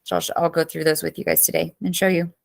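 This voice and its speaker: A woman's voice: low voice, level tone, quick pace, vocal fry. A woman in her thirties teaching a live online class, chatty and greeting students by name, with her voice cracking as she loses it.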